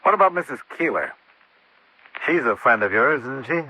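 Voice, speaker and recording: An American actor playing a shrewd, blunt insurance investigator in a golden-age radio mystery. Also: male voice, mid-range voice, sing-song, moderate pace, bright, forceful tone, a little hiss or hum. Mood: blunt, accusing. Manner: blunt, probing, matter-of-fact. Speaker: lead actor in radio detective drama. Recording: muffled old-time radio recording.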